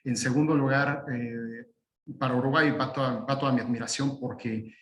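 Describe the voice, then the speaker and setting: A man speaking as a guest lawyer in a webinar, talking in a halting way with many "eh" fillers and restarts. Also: mid-range voice, steady tone, roomy sound, slight background noise. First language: Spanish. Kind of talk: webinar presentation. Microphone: video call.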